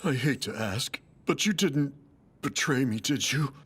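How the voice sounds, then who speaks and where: A desperate, lovelorn convict in an anime drama, speaking slowly and haltingly. His voice swings widely with anguish, with faint noise behind it. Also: mid-range voice, lively intonation, a bit bright. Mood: pleading, anguished. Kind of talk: dramatic anime dub acting.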